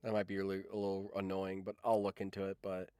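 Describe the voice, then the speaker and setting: A man's voice: deep voice, monotone, quick pace, warm tone, smooth, dry recording, clean studio sound. A man hosting a livestream in a casual chat, rambling along and swearing freely. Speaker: livestream host.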